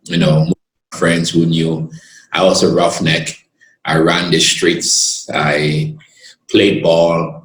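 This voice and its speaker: A man from Cameroon, a lawyer and guest who tells his story in an earnest, expansive way and pauses to gather his words. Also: low voice, level tone, a bit bright, echoey room, slight background noise, poor audio. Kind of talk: reflective storytelling in conversation.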